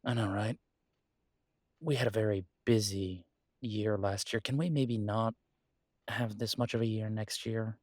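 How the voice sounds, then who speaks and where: Male actor as earnest werewolf lover, unhurried delivery, close dry sound, halting pauses, tender and protective, intimate audio drama. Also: on the low side, steady tone, a little mellow, no echo. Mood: wry, weary. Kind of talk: intimate dramatic roleplay monologue to a partner.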